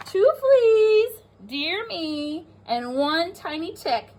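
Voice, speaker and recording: A woman teacher reading aloud to pre-kindergarten children, expressive and sing-song, stressing rhyming words and pausing to talk to her listeners. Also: very high-pitched. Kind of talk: animated children's read-aloud with asides.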